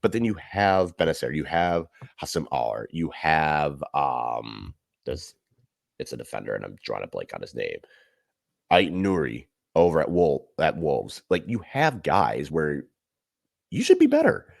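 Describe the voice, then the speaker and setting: A man co-hosting a casual podcast, giving animated, emphatic analysis of football matches. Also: extremely low pitch, measured pace, creaky. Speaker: podcast co-host. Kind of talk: casual sports chat.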